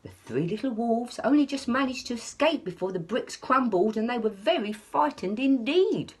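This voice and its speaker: A woman's voice: a little high, expressive, normal speed, slightly rough. A woman storyteller reading expressively to young children, with a dramatic delivery. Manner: dramatic, with hushed asides. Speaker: early years storyteller.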